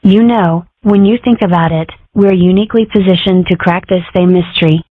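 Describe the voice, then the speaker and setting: A woman's voice: lowish voice, fairly even intonation, a little fast, dry recording, natural voice. A woman voicing an inquisitive, dramatic young cartoon girl in a comic strip dub, with wry, theatrical musing. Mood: scheming, musing. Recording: muffled phone-like audio.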